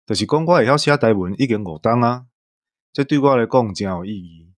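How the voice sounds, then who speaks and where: A man's voice: medium pitch, lively intonation, fairly quick, dry recording. A man with a Taiwanese accent in casual chat as a host, joking and reflective.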